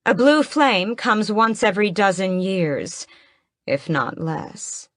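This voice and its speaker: A woman's voice: medium pitch, big pitch swings, takes it slowly, fairly bright tone, no echo, clean studio sound. A woman voicing a haughty, ageless vampire queen who speaks in cold, mocking commands. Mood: scornful.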